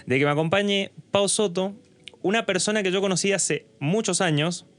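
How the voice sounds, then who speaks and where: A man's voice: sits high, normal speed, a bit bright. A man with an Argentine Spanish accent, hosting and interviewing in a casual podcast conversation. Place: home studio.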